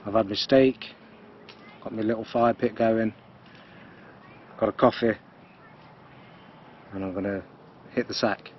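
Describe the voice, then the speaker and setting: A man's voice: fairly low pitch, steady tone, a little slow, pauses often, muffled recording, a little hiss or hum. A British man talking casually in short, slangy remarks as a motorcycle vlogger. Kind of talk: casual chat to camera while camping and riding.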